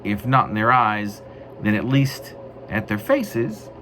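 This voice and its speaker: A man reading his own writing as a poet, with deliberate, emphatic phrasing. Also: on the low side, big pitch swings, noisy background. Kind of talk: dramatic poetry reading with casual spoken introduction.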